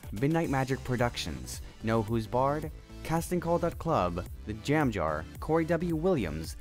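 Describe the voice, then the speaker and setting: A man reading scripted end credits as the announcer of an audio drama. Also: on the low side. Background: music.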